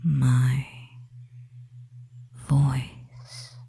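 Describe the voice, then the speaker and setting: A devious, controlling male witch acted out by a performer murmurs in a hushed, breathy whisper. The delivery is extremely slow and very dark in tone, lulling the listener in a hypnotic ASMR roleplay with little rise or fall. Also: medium pitch, monotone, slight background noise. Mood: soothing, hypnotic. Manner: slow, soothing, hypnotic, pausing between short phrases.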